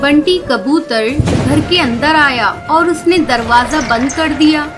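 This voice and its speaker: A woman narrates a children's cartoon tale from a script. Her voice is noticeably high. Music plays clearly behind her as she tells the story. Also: takes it slowly. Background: background music.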